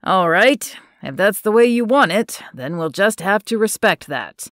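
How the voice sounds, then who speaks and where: A woman voicing a caring middle-aged father in an audiobook, speaking in a measured, gentle way. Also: medium pitch, expressive, no room sound, studio quality.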